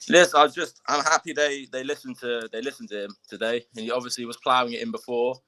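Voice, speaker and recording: A man calling in as a fan, croaky from screaming, talking hesitantly with lots of fillers. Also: medium pitch, fairly even intonation, quick pace, pushed and bright, poor audio. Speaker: caller, football fan. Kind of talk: casual fan chat.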